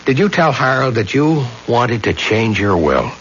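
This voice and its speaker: An actor playing a suspicious, troubled husband in an old-time radio drama, emphatic and halting under strain. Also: male voice, medium pitch, big pitch swings. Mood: tense, probing. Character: suspicious, agitated husband, weak and torn. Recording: muffled old radio recording, loud noise.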